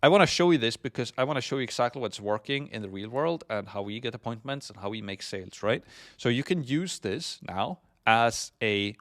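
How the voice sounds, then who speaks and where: A man's voice: mid-range voice, expressive, a little fast, a bit bright. Swedish-accented man, course instructor, easygoing, heavy on fillers. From Sweden. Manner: frequent fillers, "essentially" and "right?". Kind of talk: recorded marketing lesson.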